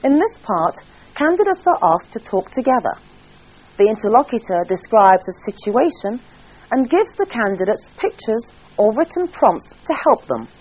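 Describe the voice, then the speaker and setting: A woman's voice: fairly high pitch, moderate pace, breathy edge. A woman giving formal scripted narration that explains an exam. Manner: formal, even delivery. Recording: dull lo-fi voice-over.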